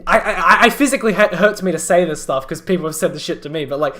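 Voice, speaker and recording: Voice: mid-range voice, fast talker, bright, forceful tone. A live-stream host chatting casually over an online call, laughing often and hedging with a lot of fillers and restarts. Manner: giggly, filler-heavy, hesitant.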